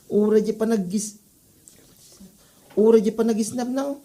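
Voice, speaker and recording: A woman's voice: a little high, steady tone, measured pace, mellow, lightly acted. A woman with an Ilocano accent playing a gossipy classmate who chides a troublemaker in a comedy drama. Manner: chiding, gossipy.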